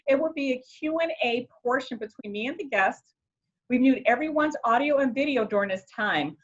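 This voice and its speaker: Higher-voiced woman hosting a webinar. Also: highs cut off. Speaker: webinar host. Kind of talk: reading a scripted introduction. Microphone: video call.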